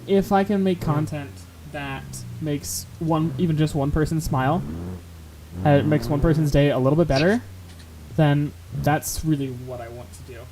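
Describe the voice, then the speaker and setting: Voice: mid-range voice, moderate pace, crowd noise, poor audio. A streamer chatting casually while playing a driving game, rambling and self-deprecating, laughing often. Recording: steady game noise behind. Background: car game sounds.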